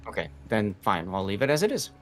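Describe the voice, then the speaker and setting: A man co-casting a game tournament on a livestream, relaxed and a bit rambling as he talks straight to his viewers. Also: on the low side, fast talker, faint music behind. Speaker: tournament co-caster and streamer. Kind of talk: casual livestream chat to viewers.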